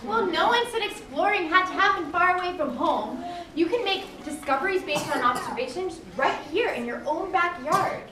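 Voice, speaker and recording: A keen, teacherly puppet-show guide, acted by a woman, with an extremely high voice. It comes from far off in an echoey hall with audience noise. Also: animated, normal speed, crowd noise. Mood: instructive. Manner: instructive, clear explanations. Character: enthusiastic, knowledgeable guide teaching a young explorer. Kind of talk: live children's puppet show acting.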